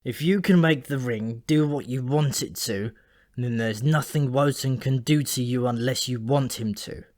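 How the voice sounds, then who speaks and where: A man's voice: medium pitch, moderate pace, darkish tone, no room sound, close to an everyday voice. Ancient dwarf for a fantasy audiobook , acted by a man: dyspeptic, gloomy, rambling, grudgingly friendly, recorded dry. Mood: confiding, warning.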